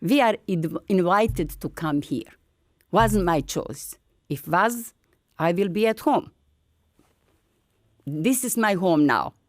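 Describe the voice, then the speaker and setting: An older woman from Bosnia with a Bosnian accent, telling her story in halting, broken English in an earnest, encouraging way. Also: fairly low pitch, lively intonation, dry recording, studio quality. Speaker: featured artist. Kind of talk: personal storytelling.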